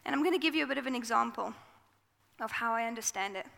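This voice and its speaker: A woman with a South African accent preaching a sermon into a microphone, telling the story in a relaxed, conversational way. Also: fairly high pitch, fast, gravelly, big-room sound.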